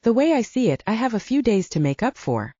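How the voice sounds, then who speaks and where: A woman's voice: a little high, sing-song, fairly quick, mellow, breathy edge, no echo, dull audio, voice pushed up. A woman voicing a smitten young man in a romance audiobook, teasing and affectionate.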